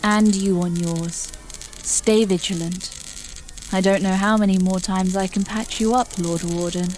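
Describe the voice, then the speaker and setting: A woman's voice: mid-range voice, steady tone, a little slow, a little mellow, slight background noise, lightly acted. Woman as a composed fantasy healer. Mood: earnest, dutiful. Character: dutiful, practical female fantasy healer. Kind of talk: fantasy audio drama acting.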